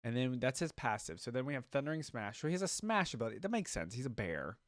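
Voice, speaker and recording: A young man gives casual, thinking-aloud commentary as a video host. His voice sits at a medium pitch, and the sound is dry, with little room around it. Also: very animated, fast, no echo. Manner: informal, musing aloud.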